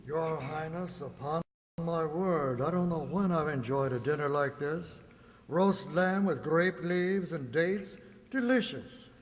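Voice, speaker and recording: Hearty, courteous sea captain, played by a man, fairly high male voice, confident radio drama in a reverberant hall. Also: slow. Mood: appreciative. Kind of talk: children's radio drama acting.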